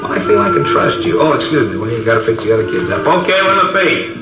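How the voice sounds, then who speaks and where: A man's voice: mid-range voice, sing-song, fast talker, far from the mic, over music, close to an everyday voice. An actor with an American accent playing a chummy bar man in an old radio crime drama, on a muffled, echoey recording. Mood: chummy, confiding.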